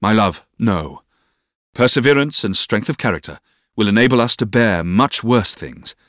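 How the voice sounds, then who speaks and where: A man voicing an earnest, ardent young Victorian gentleman in love, tender and exhorting, for an audiobook. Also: fairly low pitch, animated, normal speed, no echo, clean studio sound. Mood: reassuring. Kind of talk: audiobook character voice.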